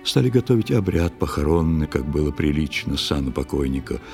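A man narrating a literary fairy tale in verse, reciting it in a solemn, measured and expressive way. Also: extremely low pitch, vocal fry, soft background music. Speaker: storyteller narrator.

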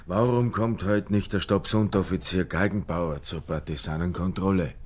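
A man's voice: low voice, fairly even intonation, normal speed. An actor playing a wary, hesitant village man in a radio drama. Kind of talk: German-language radio drama acting.

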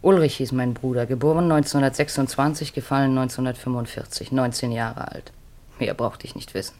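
An actress in a 1950s German radio play voicing an earnest, wistful middle-aged mother on the run, speaking in measured, pleading short phrases. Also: a bass voice, steady tone, a little mellow. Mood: sorrowful, resolute. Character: Marianne, earnest, wistful fugitive mother of grown children.

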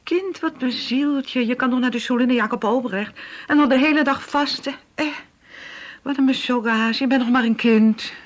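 Woman playing a high-voiced fussing mother. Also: normal speed, lightly acted. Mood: worried, scolding. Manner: admonishing, exasperated. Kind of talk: Dutch radio play acting.

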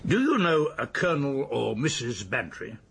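A man's voice: mid-range voice, sing-song, normal speed, creaky, a bit bright, husky, exaggerated voice. An actor playing a courteous, methodical English police detective in a radio drama, polite and probing as he questions.

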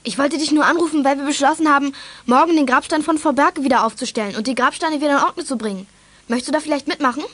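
A woman's voice: sits high, fast talker, fairly bright tone. An actress playing a sensible, confident teenage girl detective in a children's audio drama, direct and matter-of-fact. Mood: friendly.

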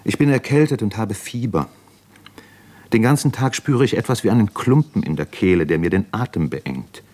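Brooding sickly diarist, low voice, radio drama, questioning himself inwardly. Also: male voice, lively intonation, a little mellow. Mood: anxious, brooding. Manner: introspective, searching, halting questions to himself. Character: brooding, ailing man writing an introspective diary. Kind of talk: literary radio play monologue.

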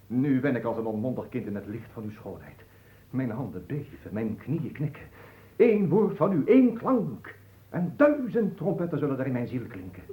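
Man playing pompous amorous nobleman, mellow voice, sweeping intonation, radio drama, echoey room. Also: medium pitch, natural voice. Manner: pompous, florid declamation. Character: boastful, gushingly amorous Spanish nobleman. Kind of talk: theatrical radio drama acting.

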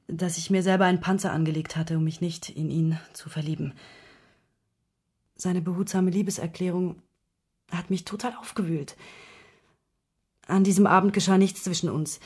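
An actress in a radio play playing a distressed woman who confides intimate matters in a halting, emotionally wounded way. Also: lowish voice, a little mellow. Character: distressed woman confessing her secret love affair to investigator.

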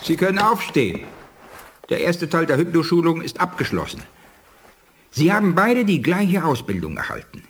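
An actor playing a dignified, shrewd alien scientist in a 1980s German sci-fi radio play, speaking in a measured, formal, didactic way. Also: male voice, a little high, big pitch swings, a bit bright, slight background noise. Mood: instructive.

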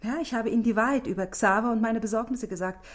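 An actress playing a self-possessed, guarded married shop manageress in a radio drama, speaking precisely and defensively. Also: female voice, fairly high pitch, quick pace, natural voice. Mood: agitated, wounded. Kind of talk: 1960s German radio drama acting.

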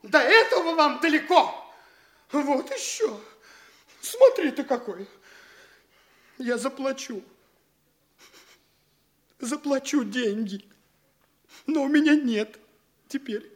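A very high-pitched young petty official. He drags out his words with stammering, petulant indignation. It is stagy radio comedy, all cowardly bluster. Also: expressive, slow pace, long pauses, fairly bright tone, heavily acted. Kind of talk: theatrical classic comedy radio acting.

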